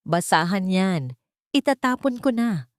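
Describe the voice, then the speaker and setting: A woman's voice: mid-range voice, animated, measured pace, dry recording, clean studio sound, natural voice. A woman with a Filipino accent voicing an irritable, world-weary old landlady in a curt, scolding manner, in a narrated story.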